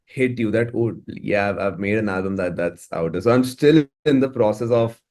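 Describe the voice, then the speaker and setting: A man talking casually as an interview guest, halting and repeating words as he thinks aloud. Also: fairly low pitch, darkish tone. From India. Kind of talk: casual, reflective interview talk.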